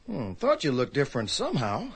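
A man's voice: medium pitch, huge pitch swings, a little fast, breathy edge, exaggerated voice. A man playing a skeptical, exasperated buddy in a radio drama, emphatic and sputtering.

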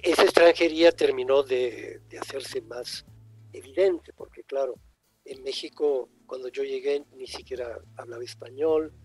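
An older man raised in Italy with Italian as his first language, a writer reflecting slowly in an interview, often pausing and restarting. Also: on the high side, mellow, husky, no room sound. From born in Egypt, raised in Italy. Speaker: writer guest. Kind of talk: thoughtful interview answers.

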